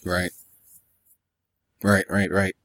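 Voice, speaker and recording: A man co-hosting a podcast, chatting casually and retelling things in a halting, informal way. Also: low voice, level tone, a bit bright, dry recording, clean studio sound. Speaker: podcast co-host. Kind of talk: casual chat, recounting episodes.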